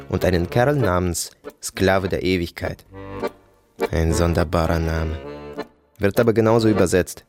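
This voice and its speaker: Radio drama confessor: haunted, detached man, low register, deliberate clipped delivery over prominent music. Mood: dry, matter-of-fact. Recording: music behind the voice.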